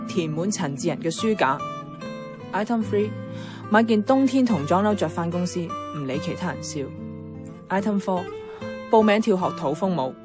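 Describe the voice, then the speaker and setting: An actress plays a weary but determined Hong Kong career woman in a Cantonese radio drama. Her lowish voice carries both dialogue and inner monologue, peppered with English, over prominent music. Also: lightly acted. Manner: code-switches into English words. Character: weary independent office woman, strong-willed about home.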